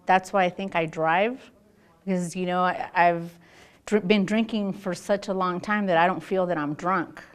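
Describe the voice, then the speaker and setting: Lower-pitched American woman, candid and halting. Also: steady tone, smooth. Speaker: news interviewee. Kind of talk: candid conversational interview.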